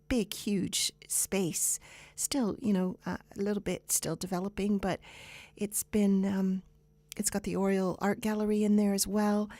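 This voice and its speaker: A woman with a Canadian accent hosting a radio show, giving chatty, unscripted announcements in an informal, halting way. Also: medium pitch, vocal fry, a little mellow, no room sound.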